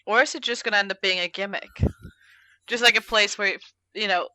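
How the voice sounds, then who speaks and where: A woman's voice: mid-range voice, fairly quick, sharp tone, slight background noise, rough recording. A woman co-hosting a podcast in casual chat about games, informal and peppering sentences with "like". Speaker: podcast co-host.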